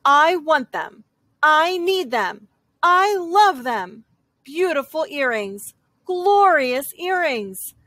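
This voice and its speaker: An impatient young storybook girl, acted out by a woman, pleads in a high voice. She is melodramatic and insistent, dragging her words out slowly. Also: animated, bright, forceful tone, dry recording, full character voice. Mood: insistent pleading.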